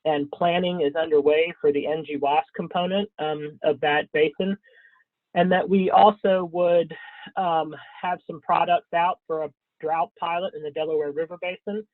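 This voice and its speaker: A man's voice: on the high side, fairly even intonation, dry recording, narrow, tinny audio. Guy giving a webinar w a cold, kinda scratchy, lots of ums, dry thin call audio. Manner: halting, frequent ums and throat clearing. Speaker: webinar presenter. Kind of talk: webinar slide presentation.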